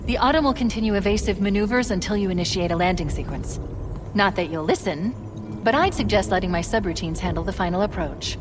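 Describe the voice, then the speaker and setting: A woman voicing a confident, wry female shipboard artificial intelligence in a sci-fi game, brisk, articulate and dryly sardonic. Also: mid-range voice, noisy background, lightly acted. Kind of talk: cinematic military sci-fi game acting.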